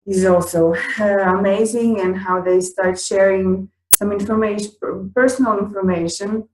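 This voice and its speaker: Slow halting woman with Croatian accent. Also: mid-range voice, reverberant, low-quality recording. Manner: halting, many fillers and restarts. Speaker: teacher and co-presenter. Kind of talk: unscripted conference talk.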